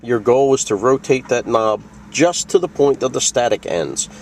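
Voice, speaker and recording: A man of medium pitch presents a casual product tutorial. He explains each step in plain, practical words. The mic sits some way from him, with faint vehicle noise behind the voice. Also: expressive, moderate pace, distant mic. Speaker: video host demonstrating a radio.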